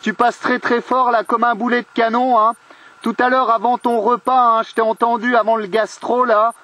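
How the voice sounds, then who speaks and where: A man's voice: very high-pitched, flat delivery, normal speed, bright, forceful tone. A man chatting casually as an amateur radio operator, trading call signs and friendly remarks.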